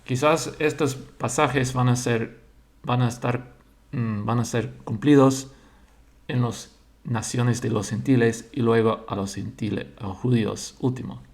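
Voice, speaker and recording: A man, a church member slowly sharing his religious thoughts and pausing to search for words in a language he learned later. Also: medium pitch, fairly even intonation, a little mellow. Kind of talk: slow personal religious monologue.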